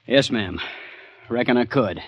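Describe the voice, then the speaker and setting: An actor playing a weary, polite corporal in a 1940s radio drama, respectful and folksy. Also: male voice, mid-range voice, animated, measured pace. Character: polite, weary Confederate soldier, a Southern corporal. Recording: dry, muffled old radio recording.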